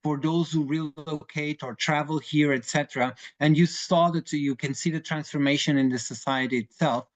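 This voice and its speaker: A man's voice: fairly high pitch, fairly even intonation, normal speed, few pauses. A man in his forties from Portugal, Portuguese native, speaking English with a Portuguese accent as a lawyer in a webinar discussion, hesitant with many fillers and restarts. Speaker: lawyer advising clients.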